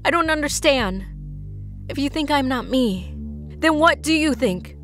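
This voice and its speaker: A woman's voice: high voice, lively intonation, measured pace, fairly bright tone. A young woman voicing an intense, insistent young woman with wounded pride in a dramatic comic dub. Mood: pleading. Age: young adult. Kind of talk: dramatic dialogue. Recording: music behind the voice.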